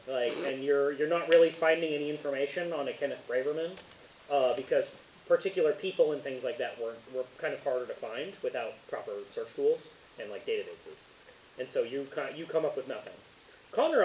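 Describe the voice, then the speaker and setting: A man running a tabletop game as game master, narrating casually and haltingly with lots of filler words. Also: mid-range voice, a little mellow. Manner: halting, informal, frequent "like". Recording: echoey room, muffled, faint hiss.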